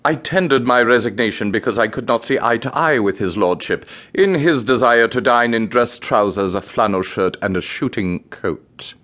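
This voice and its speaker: A man's voice: medium pitch, normal speed, few pauses, fairly bright tone, lightly acted. A man playing an unflappable, discreet English valet in a radio comedy, speaking formally and precisely with deferential, dry wit. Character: unflappable, discreet English valet, politely disapproving and drily witty.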